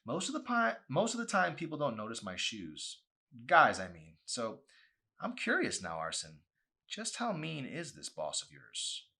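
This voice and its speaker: A man's voice: medium pitch, wildly swooping pitch, measured pace, gravelly, pushed and bright, breathy edge, pitched above the performer's own voice, full character voice. A man plays a teasing teenage girl in an audiobook. The voice is breezy and coy, lifted well above his own, with a casual, curious lilt.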